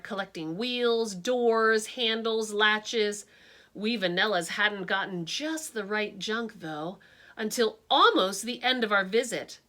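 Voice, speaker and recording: A woman reading a picture book aloud with expressive delivery and her own asides. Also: mid-range voice. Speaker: storybook reader.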